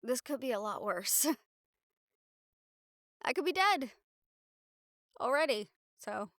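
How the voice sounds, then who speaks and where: Woman playing young reporter girlfriend, sardonic, affectionate, sighing, smooth clear tone, dry close sound, intimate audio drama. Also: medium pitch, a bit bright, no room sound. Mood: reassuring, wry. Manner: sardonic, sighing, casually sweary. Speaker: audio roleplay voice actor. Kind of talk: intimate audio roleplay acting, conversational monologue to the listener.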